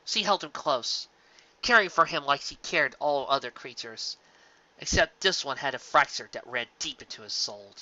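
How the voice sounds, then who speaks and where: Bright, forceful man narrating dramatically. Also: mid-range voice, expressive, moderate pace, no room sound. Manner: intense, emotive storytelling. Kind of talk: dramatic scripted fan-fiction narration. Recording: dull lo-fi home recording.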